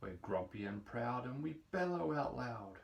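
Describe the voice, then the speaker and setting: A man's voice: mid-range voice, lively intonation, moderate pace, gravelly. A man voicing a grumpy, proud walrus from a picture book in a pompous, blustering manner. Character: grumpy, proud, blubbery walrus, mighty and bellowing. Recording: roomy echo, distant microphone.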